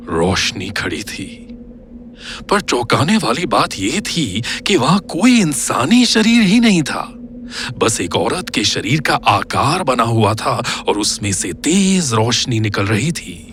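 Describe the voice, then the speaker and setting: A man's voice: medium pitch, big pitch swings, slow, fairly bright tone, a little hiss or hum. A man narrating a scripted horror audio story in a suspenseful, dramatic manner.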